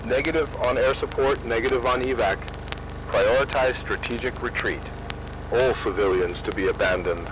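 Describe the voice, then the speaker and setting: An actor playing a cold military controller giving clipped official orders over radio. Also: male voice, medium pitch, normal speed. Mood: stern. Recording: noisy military radio channel.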